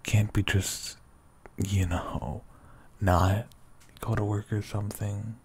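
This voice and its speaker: A young man with a whispery voice speaks softly and sleepily in a teasing boyfriend roleplay, often breaking into quiet laughs. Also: fairly low pitch, level tone, takes it slowly, creaky, breathy edge. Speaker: ASMR roleplay performer. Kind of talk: soft-spoken ASMR boyfriend roleplay.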